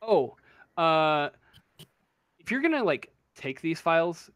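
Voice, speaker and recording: A man improvising tabletop game narration as game master, informal and halting as he feels his way through descriptions. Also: on the high side, sing-song, fairly bright tone, clean tone, no echo, studio quality.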